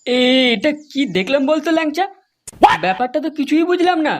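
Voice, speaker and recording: A man's voice: very high-pitched, sing-song, brisk. A man voicing a crude, quarrelsome village man in an adult comedy cartoon, brash, foul-mouthed and exaggerated. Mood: gossipy.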